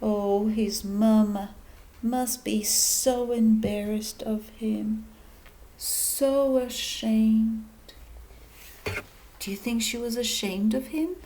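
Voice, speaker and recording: A woman reading a children's picture book aloud, expressive and dramatic, with emphatic pauses. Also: a little high, a little hiss or hum, rough recording.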